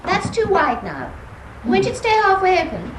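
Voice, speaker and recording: High-voiced, insistent English young wife. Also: female voice, huge pitch swings, moderate pace, echoey room, slight background noise, full character voice, low-quality recording. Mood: bossy. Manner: bossy, coaxing, plaintive. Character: insistent, fussing young married woman, sentimental about her dog. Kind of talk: vintage British stage farce acting.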